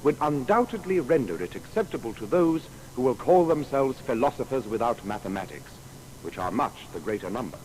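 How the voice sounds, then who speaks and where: An actor playing an earnest, courteous scholarly gentleman, entreating formally and deferentially in a dramatised historical biography. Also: medium pitch, sing-song, brisk, natural voice, poor audio. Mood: earnest pleading. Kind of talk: dramatised historical letter reading. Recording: faint background noise.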